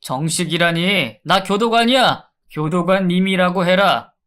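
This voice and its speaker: A man's voice: high voice, measured pace, fairly bright tone. A man voicing a stern male prison guard who gives curt, cold orders.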